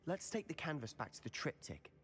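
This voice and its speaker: Young student wizard, curious, animated delivery. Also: male voice, a little high, fairly quick.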